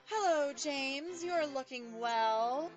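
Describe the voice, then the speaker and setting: A woman playing a haughty, commanding cyborg clown queen in a comic sci-fi radio play, with theatrical, drawn-out delivery. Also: high voice, faint music behind.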